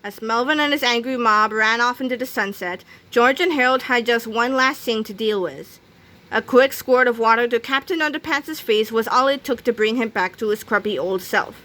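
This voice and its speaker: Bright, high-voiced woman reading aloud. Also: moderate pace. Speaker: storybook reader. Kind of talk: expressive children's book read-aloud.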